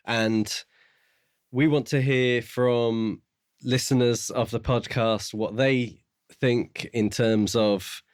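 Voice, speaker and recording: A man co-hosting a podcast, talking through books in a casual, measured way as he thinks aloud. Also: fairly low pitch, dry recording, studio quality. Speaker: podcast co-host. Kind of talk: casual book discussion.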